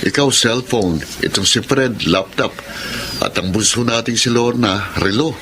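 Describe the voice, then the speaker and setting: A man playing a modest, caring middle-aged eldest brother in a radio drama, earnest and gentle. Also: on the low side, measured pace, background noise. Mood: grateful, concerned. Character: modest, caring forty-two-year-old bachelor eldest brother.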